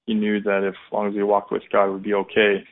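An American man in his thirties telling his personal testimony as an interview guest, in a halting, reflective, conversational way. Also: lowish voice, completely flat delivery. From United States, California and Indiana. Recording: muffled, narrow phone-like line.

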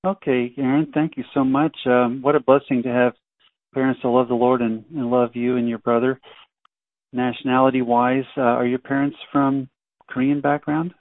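A man's voice: medium pitch, level tone, normal speed, no room sound. A man interviewing a guest conversationally, speaking in an affirming, encouraging way. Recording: dry, narrow phone-like line.

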